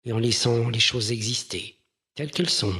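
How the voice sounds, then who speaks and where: A man leading a guided mindfulness meditation in a gentle, measured manner with pauses between phrases. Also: medium pitch, husky.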